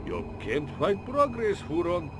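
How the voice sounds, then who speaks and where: An actor playing a pompous, theatrical Soviet villain in a video game, delivering taunting, gloating monologues. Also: male voice, high voice, huge pitch swings, measured pace, noisy background, exaggerated voice. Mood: smug, amused.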